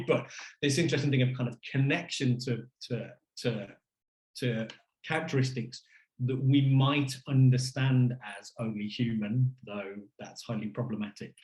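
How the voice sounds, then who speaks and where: A man's voice: medium pitch, darkish tone. A man answering questions as an academic keynote speaker, conversational and discursive, circling and correcting himself as he goes. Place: indoor room on a virtual conference call. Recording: roomy, echoey video call sound.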